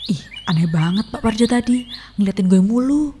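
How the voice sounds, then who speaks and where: A woman voicing a sassy young female hiker, who answers back in loud slang. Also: medium pitch, animated, moderate pace, in a large hall, a little hiss or hum, natural voice. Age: young adult. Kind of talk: animated horror story dub.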